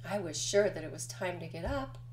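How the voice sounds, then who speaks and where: A woman's voice: fairly low pitch, animated, brisk, a little mellow, a little hiss or hum, natural voice. A woman voicing a confused, stubborn male mole just out of bed, insistent, in a picture-book read-aloud. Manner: protesting, insistent.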